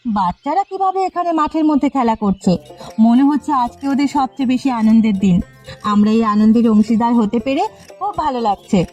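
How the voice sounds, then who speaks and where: A woman's voice: a little high, animated, a little fast, faint music behind. A woman voicing a kind, cheerful village wife in a cartoon, who speaks in a reassuring way. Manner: warm-hearted, reassuring. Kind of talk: cartoon voice acting.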